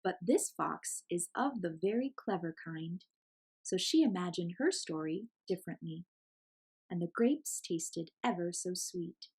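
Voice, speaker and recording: Woman reading a children's story, slightly husky. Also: fairly low pitch, expressive, a little slow, a little mellow, low-quality recording. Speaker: storyteller reading a picture book aloud. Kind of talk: expressive children's read-aloud narration.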